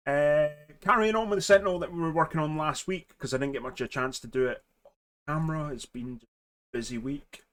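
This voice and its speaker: Hoarse man chatting on a livestream. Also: a little high, a little fast, fairly bright tone. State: slightly hoarse. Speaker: live stream host. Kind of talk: casual chatty hobby livestream.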